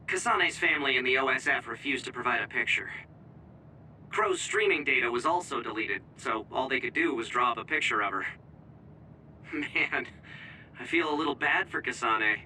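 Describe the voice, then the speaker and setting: An actor playing a frank, friendly young comrade in a video game, casual and plain-spoken. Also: male voice, medium pitch, animated, moderate pace, bright, forceful tone, slight background noise, rough recording. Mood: concerned. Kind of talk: video game cutscene voice acting.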